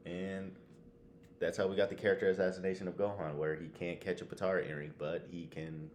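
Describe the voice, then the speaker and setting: A man's voice: low-pitched, little pitch movement, a little fast, darkish tone, a little hiss or hum. A man co-hosting a podcast, chatting casually and slangily about anime. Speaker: podcast co-host.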